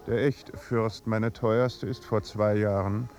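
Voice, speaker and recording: Low, creaky-voiced deadpan duke. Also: male voice, dull audio. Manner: deadpan, measured, courtly. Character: dry, placid, world-weary aristocratic duke. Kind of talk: 1950s German radio drama acting.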